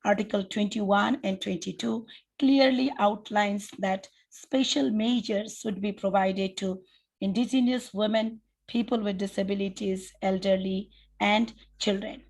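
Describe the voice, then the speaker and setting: Gravelly-voiced Nepali woman moderating formally. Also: mid-range voice, steady tone, darkish tone. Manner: formal, measured, reads prepared remarks with occasional restarts. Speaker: session moderator.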